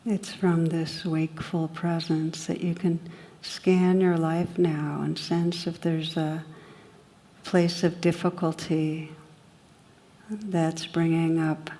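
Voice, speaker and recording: Female meditation guide: deep, dark-toned voice, soothing and unhurried, gentle delivery with generous pauses. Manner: gentle, soothing, with long pauses between short phrases.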